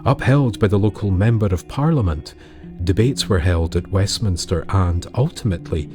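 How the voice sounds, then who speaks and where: Male narrator, deep and unhurried, measured storytelling with dramatic pauses, music underneath. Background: music bed with guitar.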